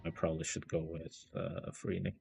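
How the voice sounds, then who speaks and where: A man's voice: deep voice, level tone, moderate pace, vocal fry, darkish tone, slight background noise. A man giving casual game livestream commentary, muttering and thinking aloud to himself.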